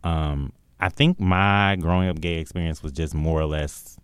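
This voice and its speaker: A man's voice: rumbling low, smooth, no room sound. A young man from the Midwest of the US, a podcast guest chatting casually and laughing often, recorded close on a dry studio mic. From Milwaukee, Wisconsin, US. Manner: animated, laughing, drawn-out "child". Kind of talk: casual chat.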